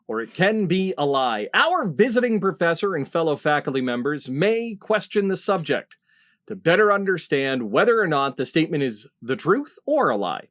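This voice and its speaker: Lively woman hosting, dry close recording. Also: low-pitched, normal speed, no room sound. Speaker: game show host. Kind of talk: reading game rules, casual hosting.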